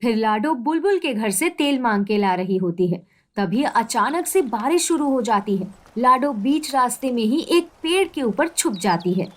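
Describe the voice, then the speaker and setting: A woman's voice: fairly high pitch, sing-song, unhurried. A woman narrating a scripted children's story.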